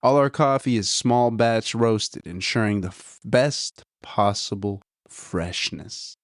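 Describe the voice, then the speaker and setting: Laid-back low-voiced man, slow and rambling. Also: no echo, studio quality. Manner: relaxed, rambling, says "dude" and "you know" a lot. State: a little nasal. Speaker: podcast host reviewing coffee. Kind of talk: casual solo chat.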